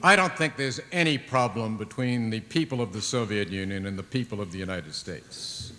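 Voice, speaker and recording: A man on a panel giving precise, measured remarks on arms control at a public forum. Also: fairly low pitch, sharp tone, slight background noise. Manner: precise, formal and deliberate. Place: large lecture hall. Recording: reverberant hall, 1980s recording.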